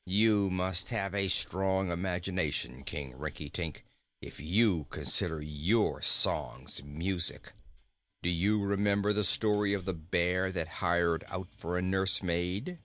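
A sour talking goat from a storybook, played by a man, pitched well down in a grumble. He is scornful and deadpan, drawing out his sarcasm at an unhurried pace. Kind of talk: storybook character voice.

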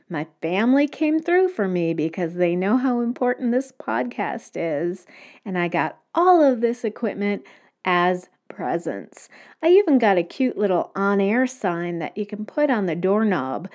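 A woman's voice: medium pitch, a little mellow. A woman hosting her own podcast, explaining things step by step in a casual solo talk. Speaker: podcast host. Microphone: home microphone.

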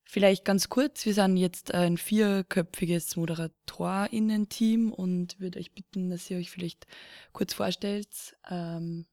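A young woman hosts a casual podcast in a slightly low voice, often pausing on fillers as she talks. The recording is dry and close, with no room sound. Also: steady tone, a little mellow, dry recording. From Lower Austria. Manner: hesitant, many fillers. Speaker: podcast host.

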